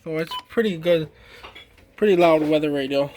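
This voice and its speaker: A man giving a casual, halting, informal show-and-tell demonstration as a hobbyist. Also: on the high side, background noise. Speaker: hobbyist demonstrating a radio collection.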